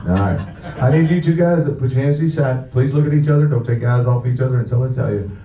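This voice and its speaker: Crude stage hypnotist, heard from afar. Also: male voice, mid-range voice, lively intonation, quick pace. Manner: crude, profane banter with the crowd. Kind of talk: live comedy stage show. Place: indoor show venue. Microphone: audience camera far from the stage. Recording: distant, muffled, echoey venue with background noise.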